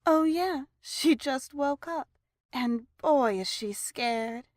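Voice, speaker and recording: A woman's voice: high voice, slow, no room sound, studio quality. A woman playing a nonchalant, cheeky pegasus henchwoman in an audio drama, with a flippant, teasing drawl. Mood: gleefully flippant.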